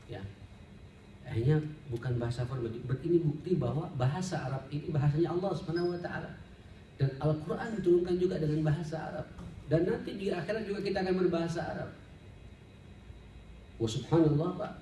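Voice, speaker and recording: A man's voice: mid-range voice, warm tone. A man preaching as an Islamic teacher, conversational and didactic, speaking directly to his listeners. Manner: conversational and didactic, often addresses listeners directly, rhetorical questions. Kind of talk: sermon, study-circle lecture with Arabic recitation. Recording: roomy, distant mic, faint background noise.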